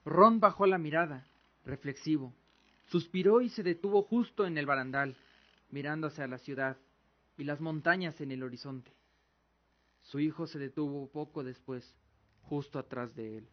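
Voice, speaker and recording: A man's voice: on the high side, normal speed, lo-fi sound. A man reading scripted dramatic narration as the storyteller of an audio drama.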